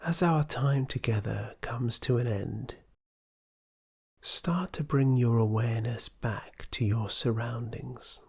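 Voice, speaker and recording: Slow, breathy, dark-toned meditation guide. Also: medium pitch. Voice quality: soft, breathy, often near whisper. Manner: soothing, gentle, measured. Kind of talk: guided meditation, scripted narration.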